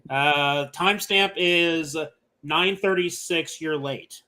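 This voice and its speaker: A man's voice: fairly high pitch, slow, bright, forceful tone. A man co-hosting a podcast, chatting casually and teasing his friends. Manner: bantering, teasing. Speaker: podcast co-host. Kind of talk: casual chat.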